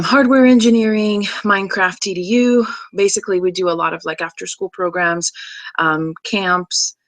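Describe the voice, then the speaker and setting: Woman from brazil in her 30s, low-ish voice, chatty with lots of ums, dry close sound. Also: a bit bright, no room sound, low-quality recording. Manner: chatty, many ums and restarts. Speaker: student introducing herself. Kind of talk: casual self-introduction video.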